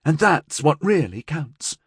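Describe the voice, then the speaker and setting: A man's voice: on the high side, big pitch swings, a little slow, fairly bright tone, slightly rough, no echo, clean studio sound, pitched above the performer's own voice, exaggerated voice. A man voicing a cool, confident gangster's woman in an audiobook, speaking in a firm, assured, coaxing way. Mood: persuasive, assured.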